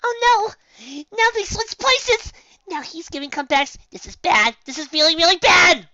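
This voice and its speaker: A bubbly young female pony, very high and squeaky, exclaiming in bright, bouncing bursts. The recording is a little dull. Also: lively intonation, moderate pace, dry recording, heavily acted. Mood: panicked. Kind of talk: fan fiction story character voice.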